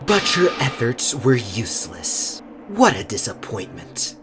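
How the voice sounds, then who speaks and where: A woman playing a cold, haughty teenage boy in an anime game fandub, measured, condescending and disdainful. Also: very deep voice, big pitch swings, background noise, heavily acted. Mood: scornful, cutting. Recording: loud music behind. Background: music.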